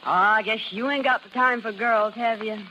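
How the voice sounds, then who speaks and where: An actress as a wisecracking carnival dame, muffled recording. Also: female voice, a little high, fairly even intonation, moderate pace, lightly acted. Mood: flirtatious. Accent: American. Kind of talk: 1930s radio drama acting. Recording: dull, noisy 1930s radio recording.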